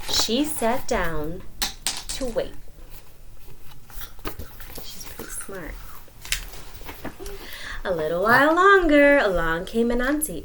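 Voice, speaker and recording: Animated woman reading a picture book aloud. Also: medium pitch, slight background noise. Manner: animated, with dramatic pauses and emphasis. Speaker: storyteller reading aloud to a child. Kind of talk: expressive children's picture-book read-aloud.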